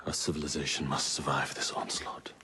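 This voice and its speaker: An actor playing a grim, resolute villain mastermind in a dramatic game monologue, speaking in a portentous, measured, declamatory way. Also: male voice, very deep voice, flat delivery, bright, forceful tone, a little hiss or hum, heavily acted. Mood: grave resolve.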